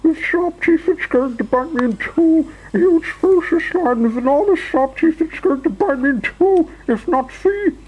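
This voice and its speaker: A man's voice: extremely high pitch, very animated, moderate pace, few pauses, muffled recording, slight background noise, voice pushed up. A man voicing a tiny, hysterical storybook character who shrieks, stammers and dramatizes every fright. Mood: hysterical terror. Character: tiny, panicky, hysterical male storybook character Mister Jelly.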